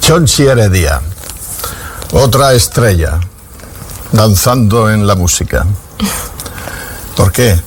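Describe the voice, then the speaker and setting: Low-voiced man asking brief questions. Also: sing-song, slow, long pauses, slight background noise. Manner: brief prompting questions and murmured assent. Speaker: interviewer. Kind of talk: interview questions.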